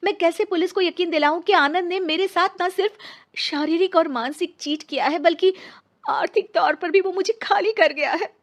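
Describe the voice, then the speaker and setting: A woman's voice: very high-pitched, normal speed, voice pushed up. A woman playing an earnest, wronged young divorced woman in a dramatised story. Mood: anxious, insistent.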